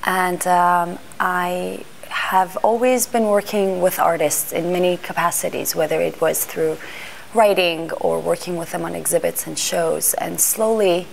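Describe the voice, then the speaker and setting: A woman's voice: fairly low pitch, steady tone, unhurried, vocal fry, slight background noise, low-quality recording. A middle-aged woman from Iran, raised in Greece and the US, speaking thoughtfully in an interview, with frequent restarts and fillers as she searches for words. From Iran, raised in Greece and Washington D.C. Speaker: art fair panel organizer. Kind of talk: interview monologue.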